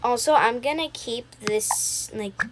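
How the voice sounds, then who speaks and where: A woman's voice: on the high side, slow. A woman improvising a homemade doll role-play, talking casually and mumbling asides to viewers as she handles props. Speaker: storyteller voicing toy characters for a homemade video. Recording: very noisy home recording with clicks.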